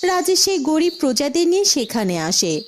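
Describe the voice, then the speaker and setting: High-pitched woman narrating a cartoon tale. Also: very animated, normal speed, a little hiss or hum. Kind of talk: scripted storybook narration for a cartoon.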